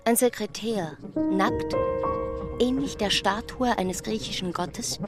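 A woman narrating a literary radio drama deliberately, pausing between short phrases. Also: medium pitch. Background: prominent music.

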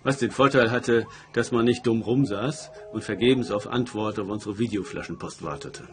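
A man's voice: on the low side, normal speed, a little hiss or hum. A man delivering short lines of scripted narration as a co-narrator.

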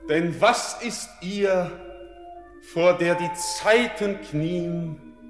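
An entranced young miner in an echoing hall. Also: male voice, high voice. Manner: slow, incantatory verse. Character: young miner longing to leave, dreamy. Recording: echoey hall with music.

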